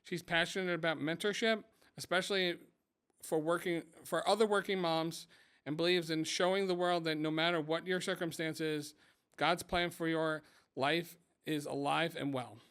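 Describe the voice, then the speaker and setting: A man's voice: on the high side, fairly even intonation, normal speed, a bit bright, clear, resonant tone. Upbeat man hosting a faith podcast. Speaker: podcast host. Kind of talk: podcast introduction and interview.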